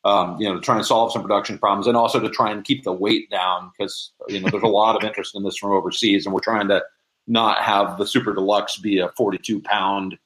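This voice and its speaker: A man's voice: on the low side, fairly even intonation, brisk, a bit bright, poor audio. A man speaking casually as an interview guest, explaining things with frequent restarts and doubled phrases. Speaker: interview guest, prop and audio drama maker.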